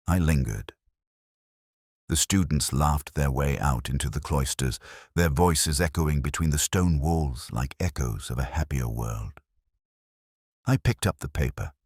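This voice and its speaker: A man voicing a weary, melancholy older English detective, narrating a literary mystery in a measured, wry, brooding way. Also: rumbling low, no echo, clean studio sound, exaggerated voice.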